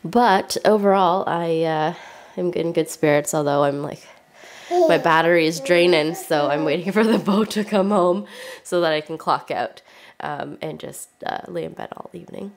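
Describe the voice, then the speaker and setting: Woman, young adult, congested nasal voice, casual rambling vlog chat, slightly low pitch. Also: moderate pace. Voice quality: stuffy, nasal. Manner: chatty, rambling with many fillers. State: congested, stuffy nose. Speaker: vlogger.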